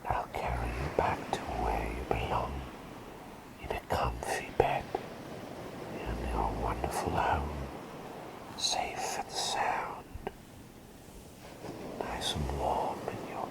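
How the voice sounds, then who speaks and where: A man playing a gentle, doting plague doctor in a whispered ASMR roleplay, soothing and tenderly repeating his phrases in a breathy voice. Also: full character voice. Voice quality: breathy whisper with creaky low undertone.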